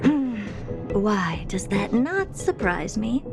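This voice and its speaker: A woman's voice: mid-range voice, big pitch swings, slow pace, creaky, over music, heavily acted. A woman playing a haughty, impatient young female genius scientist in a video game, imperious and dismissive.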